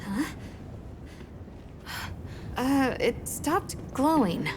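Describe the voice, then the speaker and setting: A woman's voice: high voice, sing-song, extremely slow, heavy background noise, full character voice. An actress playing a curious young woman on an expedition in a video game, casual and a little tentative. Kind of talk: video game cutscene acting. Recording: loud music behind the voice.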